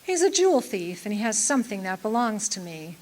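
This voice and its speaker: Actress as radio femme fatale, medium pitch, classy, poised, haughty, melodramatic forties delivery. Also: female voice, very animated, a little fast, darkish tone. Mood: indignant, urgent. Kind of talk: 1940s-style radio detective drama acting.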